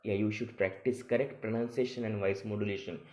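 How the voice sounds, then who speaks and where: A man's voice: lowish voice, steady tone, brisk, a little mellow. A man giving an instructional English lesson, explaining didactically and restating key phrases as a teacher. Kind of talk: instructional lecture, reading and explaining an assignment. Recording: echoey room sound.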